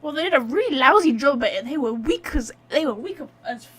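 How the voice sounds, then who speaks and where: A woman's voice: high-pitched, expressive, a little fast, a bit bright. A woman doing casual let's-play commentary as a gaming streamer, blunt, sweary and joking.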